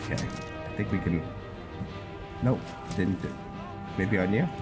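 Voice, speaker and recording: A man's voice: low voice, unhurried. A man giving casual, meandering gameplay commentary, hesitating as he talks to himself and his viewers. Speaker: gamer, host of a roleplay series. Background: video game music.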